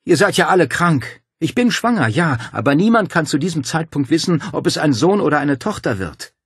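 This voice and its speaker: A man voicing an outraged, defiant young woman with sharp, scornful delivery in an audiobook drama. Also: mid-range voice, animated, moderate pace, slightly rough, no room sound, clean studio sound, voice pushed up.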